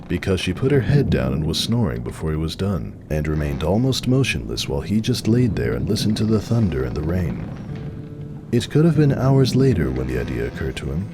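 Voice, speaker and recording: A man narrating a story dramatically from a script. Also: low-pitched. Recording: clearly noisy, storm ambience behind.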